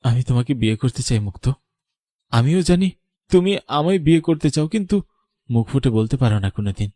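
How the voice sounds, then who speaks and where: A man's voice: medium pitch, lively intonation, fast talker, dark tone, no room sound, studio quality, natural voice. A man voicing an earnest young landlord's son in love, emotional and dramatic, in a cartoon. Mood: tender. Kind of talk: melodramatic cartoon voice acting.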